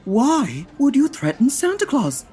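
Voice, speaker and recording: A man's voice: very high-pitched, huge pitch swings, unhurried, darkish tone, heavily acted. Guy playing campy dramatic department store jack frost, effusive, swoopy voice, music under it. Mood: anxious, dramatic. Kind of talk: comedy audio drama acting.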